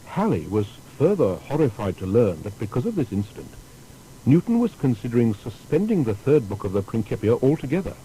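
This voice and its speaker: Very mellow, expressive male narrator. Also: rough recording. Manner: formal, storytelling delivery with deliberate emphasis. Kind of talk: scripted documentary narration. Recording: faint background noise with rumble.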